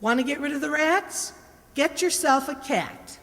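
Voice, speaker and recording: A woman's voice: sits high, measured pace, fairly bright tone. A woman playing a bossy, sarcastic cook in old London in an amateur radio play. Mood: brusque, scolding. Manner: bossy, mocking, scornful. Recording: echoey hall, long reverb.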